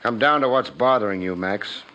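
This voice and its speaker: Persistent private detective, low pitch, wry, clipped, tough, 1950s radio drama, dull old recording. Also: male voice, moderate pace, bright, forceful tone. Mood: insistent. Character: hard-boiled male private investigator, wry, persistent.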